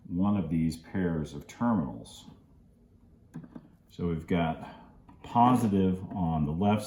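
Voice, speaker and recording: A middle-aged man giving a casual, relaxed hands-on tutorial, halting a little as he works in an indoor workshop. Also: deep voice, roomy sound, a little hiss or hum. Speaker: host of model railroad channel.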